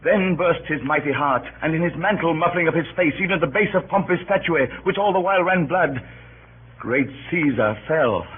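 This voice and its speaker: An actor playing a grieving Roman orator stirring a mob, in theatrical, declamatory old-time radio Shakespeare style. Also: male voice, fairly high pitch, animated, moderate pace, breathy edge. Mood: mournful, solemn. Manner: theatrical, declamatory, swinging from hushed grief to cries. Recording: muffled, hissy old radio recording.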